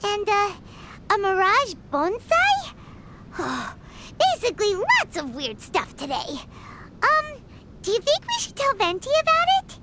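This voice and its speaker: A woman voicing a tiny, excitable, childlike fairy companion in an exclaiming video game style. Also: extremely high pitch, measured pace, very noisy, heavily acted. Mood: puzzled, chatty. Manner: refers to herself in third person, exclaiming. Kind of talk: English video game voice acting.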